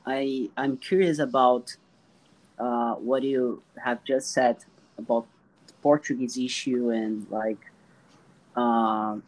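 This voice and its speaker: A man's voice: mid-range voice, steady tone, slow, pauses often, rough recording. A man interviewing a guest in a casual chat, friendly and encouraging, often repeating words as he starts his questions.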